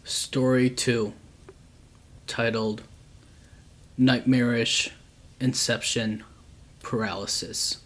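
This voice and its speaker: A man narrates scary stories with a calm, unhurried read. His pitch sits in an ordinary middle range but barely moves, so the telling stays flat and even. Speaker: storyteller, channel host. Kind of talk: reading true scary stories aloud.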